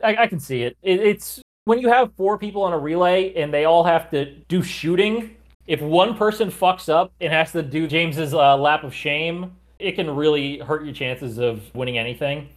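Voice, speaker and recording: A man's voice: a little high, normal speed. A man co-hosting a podcast, casually recounting an athlete's career with frequent "like" and "you know". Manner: chatty, lots of "like" and "you know", occasional restarts. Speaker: podcast co-host.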